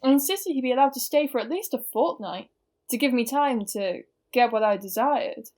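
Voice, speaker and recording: A woman's voice: fairly high pitch, expressive, moderate pace, darkish tone, lightly acted. A young woman with an English accent playing a melodramatic eighteen-year-old girl in mourning in a student radio play, overwrought in manner. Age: young adult.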